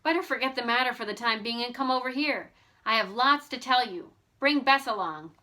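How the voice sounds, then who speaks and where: A woman's voice: on the high side, a little fast, sharp tone. A woman voicing a keen, kindly teenage girl detective in an audiobook, eager and determined. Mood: cheerful, advising. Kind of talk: audiobook character voice.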